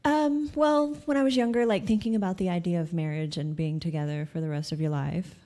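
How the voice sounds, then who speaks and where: A woman talks as a podcast guest, her voice fairly low. She is thoughtful and hesitant and fills her pauses with ums. Her talk is casual and unhurried. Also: dark tone. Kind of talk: casual interview chat.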